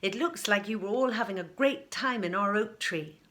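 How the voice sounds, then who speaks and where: A woman's voice: medium pitch, moderate pace, a bit bright, close to an everyday voice. A woman voicing a friendly female tree warden in a children's story, speaking in an encouraging, instructive way to pupils.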